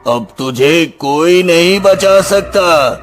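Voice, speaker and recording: A man's voice: a little high, expressive, takes it slowly, soft background music. An actor voicing a wise, devout old male sage in a dubbed cartoon, speaking solemnly and chanting prayers.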